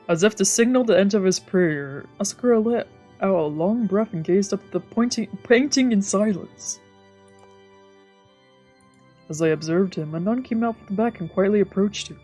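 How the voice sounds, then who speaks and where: A woman's voice: mid-range voice, expressive, moderate pace, dark tone, husky. A woman streamer reading visual novel narration aloud, with casual asides. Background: faint music.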